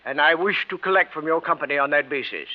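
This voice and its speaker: An actor playing a small, brusque, eccentric millionaire recluse in a 1950s radio drama, imperious and given to barking orders. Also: male voice, on the high side, fast talker, natural voice. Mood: brisk, imperious. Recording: dull vintage radio recording.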